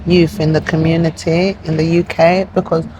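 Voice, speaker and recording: A woman's voice: low-pitched, level tone, normal speed, noisy background. A woman from Nigeria, a guest in an interview, talking earnestly and plainly with frequent fillers. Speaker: interview guest, community project founder. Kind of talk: conversational interview.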